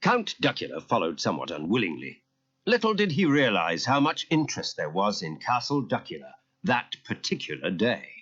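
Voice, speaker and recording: Man narrating a kids story tape, dramatic n expressive, big swings in pitch, slightly dull old cassette sound. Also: moderate pace, husky. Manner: theatrical, expressive. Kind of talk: dramatic scripted children's storytelling. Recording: muffled cassette transfer.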